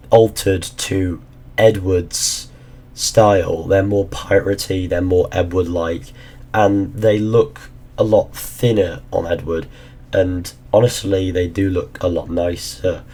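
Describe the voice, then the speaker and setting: A low, mellow male voice, worn hoarse as he loses his voice. He rambles casually and drops the odd swear word. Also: steady tone, takes it slowly. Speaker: gaming YouTuber. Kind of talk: casual ranking commentary.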